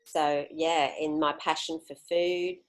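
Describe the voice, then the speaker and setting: Australian-accented woman guest, lowish voice, friendly and reflective. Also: flat delivery, takes it slowly, rough recording. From Greece. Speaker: guest, solo traveler. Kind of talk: casual interview chat.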